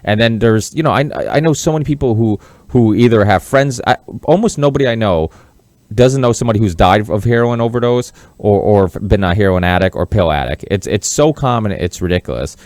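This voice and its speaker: Low-voiced male podcast host, dry recording. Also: a little fast, a little mellow, dry recording. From Massachusetts, born in Portugal. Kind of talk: conversational interview.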